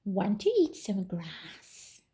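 A young woman voicing friendly storybook farm animals, with drawn-out animal calls and playful questions. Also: medium pitch, wildly swooping pitch, mellow, pitched below the performer's own voice. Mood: playful, inviting. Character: friendly farmyard animals calling out and inviting play.

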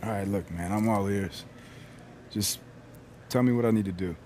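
A man's voice: lowish voice, normal speed, darkish tone, slight background noise, natural voice. A man playing an easygoing, driven young pro basketball player in a video game, with an American accent and casual slangy talk. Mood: agreeable. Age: young adult. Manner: casual, slangy, laid-back. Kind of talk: naturalistic video game cutscene acting.

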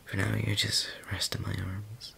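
A man's voice: fairly low pitch, monotone, a little slow, gravelly, a little hiss or hum, exaggerated voice. A man playing a tender, whispering daemon boyfriend. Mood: soothing. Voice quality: soft, often whispered. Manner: stammering, affectionate. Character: tender daemon boyfriend, male, young adult. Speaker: voice actor. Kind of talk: ASMR roleplay.

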